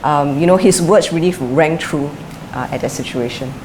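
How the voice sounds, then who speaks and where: Interview subject, middle-aged woman, lowish voice, measured and reflective, faint hiss behind. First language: English is her first language; Chinese is her second. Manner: thoughtful, with frequent fillers and restarts. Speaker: interviewee, former sprinter and coach. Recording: light background noise.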